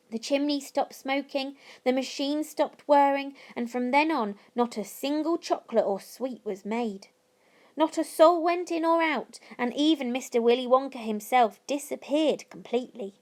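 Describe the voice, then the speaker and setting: A woman voicing a kindly old grandfather who tells a mysterious tale in a deliberate, dramatic way. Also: fairly high pitch, darkish tone. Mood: grave storytelling.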